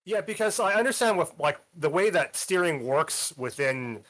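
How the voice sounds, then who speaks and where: A man's voice: fairly high pitch, lively intonation, moderate pace, a bit bright. A man who is a community member, asking a detailed technical question in a live developer Q&A with a nervous, stumbling manner.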